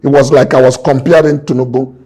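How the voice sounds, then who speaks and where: A man's voice: medium pitch, little pitch movement, brisk, dark tone, husky. A man in his sixties, a businessman guest in an interview, talking emphatically and repeating his points like a preacher. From Nigeria. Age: 60s. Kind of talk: impassioned interview talk.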